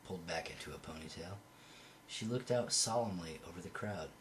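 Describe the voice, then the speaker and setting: A man's voice: sits low, low-quality recording. A man reading a novel aloud as an amateur, sometimes stumbling over a word and correcting himself, on a home recording. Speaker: amateur audiobook reader. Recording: home recording with faint background noise.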